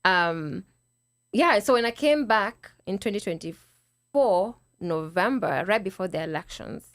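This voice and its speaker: A woman's voice: mid-range voice, lively intonation, unhurried, fairly bright tone, no echo. A middle-aged Kenyan woman, a podcast guest, chatting casually with a slightly nasal voice, often restarting and quoting herself. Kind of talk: casual interview chat.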